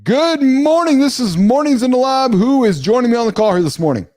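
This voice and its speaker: A man's voice: fairly quick, faint music behind. A middle-aged man hosting a live morning talk show, speaking casually and with animation, often addressing his listeners as guys. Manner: animated, calls listeners guys, jumps in pitch.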